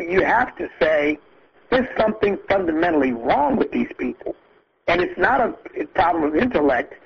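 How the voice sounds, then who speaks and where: Male political speaker, very gravelly and raspy, earnest and emphatic, halting delivery, muffled conference-line sound. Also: high voice. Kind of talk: impassioned political speech on a conference call. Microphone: phone conference line. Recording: muffled lo-fi conference call audio.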